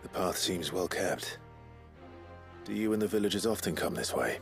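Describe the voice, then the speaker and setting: A man playing a brooding, courteous warrior hero in a fantasy video game, gravelly and husky, terse and earnest. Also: low voice, animated, normal speed. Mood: dry, resolute. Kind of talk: video game cutscene acting. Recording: music playing behind the voice.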